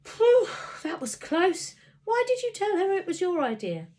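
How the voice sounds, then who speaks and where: A woman's voice: very high voice, big pitch swings, unhurried, dark tone, voice pushed up, full character voice. Awkward young lad for a children's audiobook, played by a woman; hesitant, stammering, evasive. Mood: flustered. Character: flustered, awkward, evasive schoolboy.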